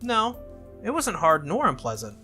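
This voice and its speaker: A game reader voicing a brisk, teasing, confident teenage anime schoolgirl with a breezy, needling manner. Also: mid-range voice, wildly swooping pitch, sharp tone, soft background music, pitched above the performer's own voice, heavily acted. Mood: matter-of-fact.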